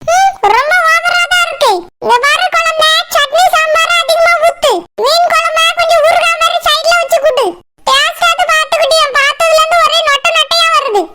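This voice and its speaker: A feisty cartoon village woman, extremely high and squeaky. She speaks with a Kanyakumari Tamil accent and complains in exclamations. Loud noise runs through the recording. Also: steady tone, a little fast. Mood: complaining. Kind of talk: comic cartoon dialogue.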